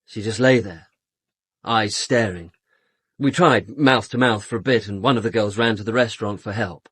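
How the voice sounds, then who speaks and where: An actor playing a flippant, louche upper-class British suspect in a radio drama, speaking in a glib, theatrically casual manner. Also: male voice, fairly low pitch, moderate pace, a bit bright, slightly rough, dry recording. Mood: sombre recollection.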